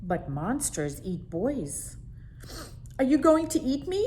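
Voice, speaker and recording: A woman voicing a young boy in a picture book, speaking high and lively. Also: slow, slight background noise, exaggerated voice. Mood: amused. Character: young boy, curious and cheeky.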